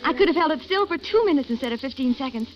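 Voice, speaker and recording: A woman's voice: high-pitched, lively intonation, rapid-fire. A woman playing a naive, eager young woman who talks in a gushing, breathless way, in a 1940s radio drama. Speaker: actress. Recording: dull lo-fi 1940s radio broadcast with hiss.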